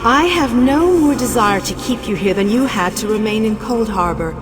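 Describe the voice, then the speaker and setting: A woman's voice: fairly high pitch, lively intonation, lightly acted. Woman acting solemn goddess in a vast hall. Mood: solemn, instructing. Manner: grave, stately, formal, deliberate phrasing. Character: solemn, commanding goddess addressing a mortal hero. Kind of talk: video game dramatic monologue. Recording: long hall reverb, clear music behind.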